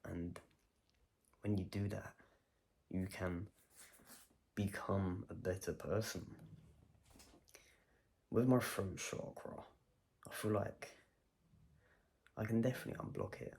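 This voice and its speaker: A man's voice: sits low, steady tone, slow pace, gravelly, long pauses, a little mellow. A man vlogging a personal video diary, talking in a reflective, rambling way and questioning himself as he goes.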